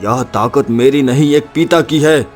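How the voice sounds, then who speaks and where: A man's voice: mid-range voice, measured pace, faint music behind, natural voice. A man playing a brave woodcutter father in a dramatic cartoon story, earnest, tender and determined.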